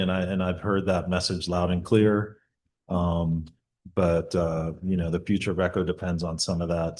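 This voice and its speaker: Deep-voiced halting mayor. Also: male voice. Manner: hesitant, many fillers and restarts. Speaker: mayor presenting a budget. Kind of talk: public meeting remarks. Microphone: table mic at some distance.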